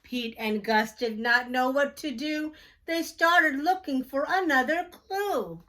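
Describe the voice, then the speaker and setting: A woman reading a picture book aloud to children slowly, in a sing-song, emphatic storytelling manner. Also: high voice, sharp tone.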